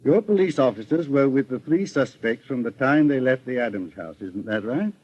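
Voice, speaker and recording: Actor as measured detective, dull vintage radio sound. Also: male voice, mid-range voice, lively intonation, natural voice. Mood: confident, deliberate. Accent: American. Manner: measured, deliberate, formal. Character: composed, methodical veteran male private investigator, the boss. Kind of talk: old-time radio detective drama acting.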